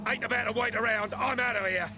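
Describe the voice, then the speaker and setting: An actor playing a nervous, cowardly thief who stammers and speaks in a jittery way in a fantasy video game. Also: male voice, extremely high pitch, steady tone, fast, background noise.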